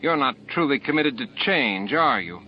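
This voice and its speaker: A man's voice: medium pitch, animated, moderate pace, a little hiss or hum, voice pushed up, lightly acted. Man voicing a sneering, scheming alien rebel. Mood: goading. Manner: goading, sneering. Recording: dull lo-fi audiobook tape.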